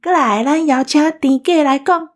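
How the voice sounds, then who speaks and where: Female co-host, Taiwanese accent, higher-pitched voice, bossy teasing banter. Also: normal speed. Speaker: co-host of a radio drama cast special. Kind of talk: casual hosting banter.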